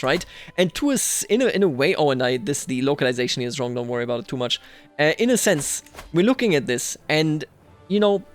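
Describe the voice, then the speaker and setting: A man hosting a video game roleplay series, giving casual commentary and thinking aloud conversationally as he plays. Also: on the high side. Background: background music.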